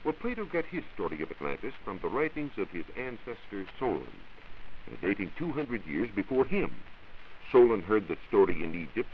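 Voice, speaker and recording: Deep-voiced, expressive American science reporter man. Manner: formal, measured, oratorical. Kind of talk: scripted 1940s radio science lecture. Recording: muffled, hissy 1940s radio recording.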